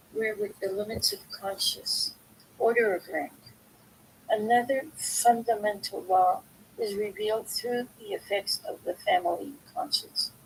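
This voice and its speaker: A woman reads a book aloud in an informal, halting way, going back over words she trips on. Her voice sits at a middling pitch with a noticeable creak. There is faint noise and a low rumble in the recording. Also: a bit bright, low-quality recording. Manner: halting, stumbles over words and corrects herself. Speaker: reader of a book aloud, video host. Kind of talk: informal read-aloud of a self-help philosophy book.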